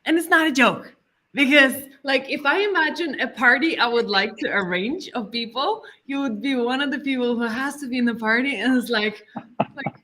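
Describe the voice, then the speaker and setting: A woman hosting an interview in a casual, animated way, with frequent giggles and fillers. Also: high-pitched, normal speed. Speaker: host.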